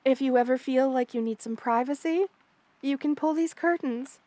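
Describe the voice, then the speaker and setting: A woman voicing a kind, motherly spider queen in an ASMR roleplay, soft-spoken, doting and reassuring as she speaks to the listener. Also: high voice, moderate pace, no echo, natural voice. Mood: fond, excited. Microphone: close studio mic, dry.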